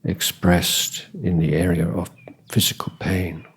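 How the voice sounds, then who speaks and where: Slow, husky, deep-voiced male meditation teacher. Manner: calm, measured, gentle instruction with long pauses. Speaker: Buddhist monk, meditation teacher. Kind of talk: guided meditation dharma talk.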